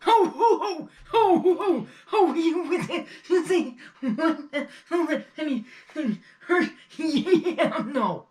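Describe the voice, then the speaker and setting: Excitable woman reacting live. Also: sits high, slow, hardly any pauses. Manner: excitable, thinking aloud in fragments. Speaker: host reacting to an audio drama. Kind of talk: casual live reaction commentary.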